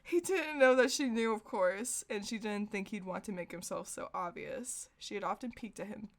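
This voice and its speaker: A woman's voice: medium pitch, normal speed. A woman podcast host with an ordinary mid-range voice, chatting and reading stories aloud in a casual way. She is giggly and tends to crack up at what she is saying. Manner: giggly, breaks off into laughter while reading. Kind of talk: casual chat and reading fan fiction aloud.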